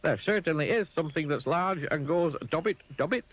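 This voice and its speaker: A man voicing a simple, cheerful little storybook man in a high, eager voice. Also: a little fast, a bit bright, poor audio. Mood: cheery.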